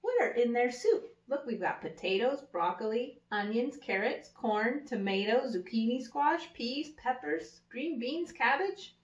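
A woman in her thirties reading a children's picture book aloud like an early education teacher, with simple, deliberate phrasing and little asides. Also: on the high side, lively intonation. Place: indoor room with wood-paneled wall. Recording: echoey room, slightly dull sound.

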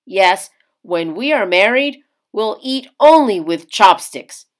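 An eager young man courting his sweetheart in a storybook, voiced by a woman reader. He is playful and admiring, with emphatic, teasing lines that leap around in pitch. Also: animated, takes it slowly. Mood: amused, eager.

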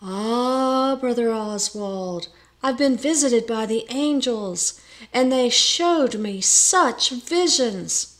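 A woman's voice: a little high, expressive, slow, pitched above the performer's own voice. A woman voicing a sly young man in a storybook tale who feigns saintly piety in a solemn, sighing manner. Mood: dreamy, rapturous.

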